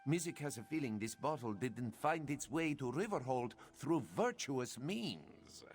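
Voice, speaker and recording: A man's voice: medium pitch, expressive, unhurried, close to an everyday voice. A man playing a polite, anxious cat-folk companion in a fantasy game, given to speaking of himself in the third person. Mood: wary, suspicious. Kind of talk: fantasy game character acting.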